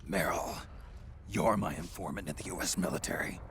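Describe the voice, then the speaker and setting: An actor playing a gruff, weary legendary soldier aged before his time, with a rasping growl and terse, blunt delivery in a dramatic video game. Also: low voice, a little fast, background noise. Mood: weary, grave.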